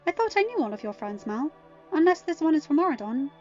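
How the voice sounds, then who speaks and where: A woman voicing a gentle, perceptive mother goddess in an audiobook, probing with quiet skepticism. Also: sits high, big pitch swings, fast, pitched above the performer's own voice. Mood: gently probing. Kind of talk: acted audiobook dialogue. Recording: dull lo-fi home recording, music underneath.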